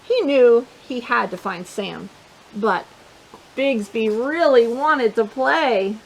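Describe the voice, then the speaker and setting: A woman hosting a children's storytime, reading a picture book aloud in an animated, playful way, with chatty asides to her young listeners. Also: fairly high pitch, takes it slowly, creaky, rough recording.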